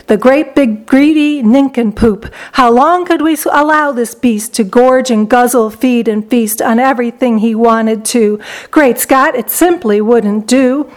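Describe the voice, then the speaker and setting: A woman voicing tiny mocking men in a children's story, reciting a rhythmic, gleefully scolding chant. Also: high voice.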